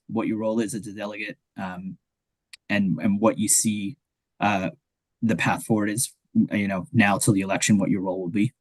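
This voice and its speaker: A man moderating an interview, asking long questions with halting ums and uhs. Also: lowish voice, a little mellow, dry recording, low-quality recording.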